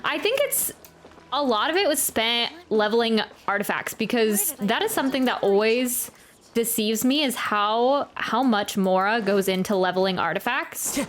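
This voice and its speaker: A woman's voice: on the high side, animated, moderate pace. A woman giving casual, chatty reaction commentary as a game streamer, with rambling, jokey asides.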